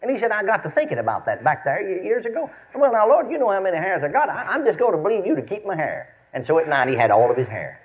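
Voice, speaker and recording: A man's voice: high-pitched, sing-song, fast, few pauses. A man in his fifties with a Texan accent preaches a folksy, storytelling sermon, repeating phrases for emphasis and checking in with his listeners. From Texas, United States. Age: 50s. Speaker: preacher and Bible teacher. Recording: dull, lo-fi sermon recording.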